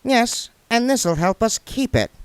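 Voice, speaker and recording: Male reader as a clipped, persuasive crook. Pitched higher. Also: lively intonation, a little slow, smooth, dry recording.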